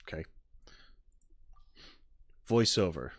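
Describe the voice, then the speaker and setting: A man's voice: low-pitched, animated, slow pace, no echo, studio quality. A man streaming casually to viewers, thinking aloud in a hesitant way. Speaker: streamer reacting to game content. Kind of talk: casual chat with live viewers.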